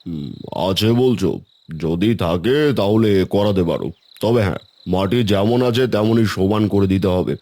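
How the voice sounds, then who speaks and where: An actor playing a gruff, bossy building secretary in an audio horror story, speaking in curt orders. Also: male voice, fairly low pitch, measured pace, dark tone. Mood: stern, permitting.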